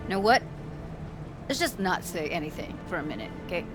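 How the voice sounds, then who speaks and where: A lowish female voice with music underneath. a young woman in a video game who is blunt, foul-mouthed and hotheaded, carrying grief. The delivery is naturalistic and streetwise. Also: very animated, fairly quick. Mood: sorrowful, brooding.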